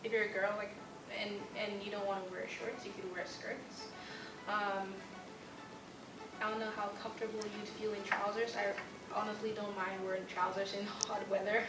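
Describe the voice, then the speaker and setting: A young woman from Ghana, an international student, casually explaining what clothes to pack with relaxed, chatty fillers. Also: medium pitch, moderate pace. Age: young adult. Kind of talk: casual informational video. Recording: roomy, mic at a distance, music underneath.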